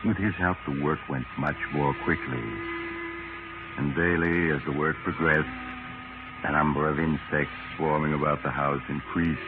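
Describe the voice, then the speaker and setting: A very deep male voice narrates a sinister tale. The delivery is ominous and measured, in 1940s mystery style. It comes through thin old radio sound with music clearly underneath. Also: fairly even intonation. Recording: narrow-band vintage radio recording.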